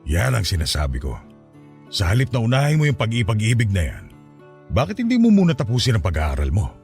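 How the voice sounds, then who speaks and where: Stern soldier dad, Filipino accent, very low voice, swooping intonation, fatherly and teasing, radio drama. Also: huge pitch swings, fast, vocal fry, darkish tone, faint music behind, exaggerated voice. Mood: lecturing. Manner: authoritative, fatherly, lecturing. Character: stern, teasing soldier father sizing up his daughter's suitor. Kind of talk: Tagalog radio drama acting.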